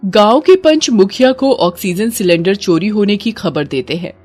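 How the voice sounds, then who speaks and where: A woman's voice: medium pitch, expressive, normal speed, a little mellow. A woman reading a scripted horror story in a dramatic storytelling manner. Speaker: story narrator. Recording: music bed under the voice. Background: background music.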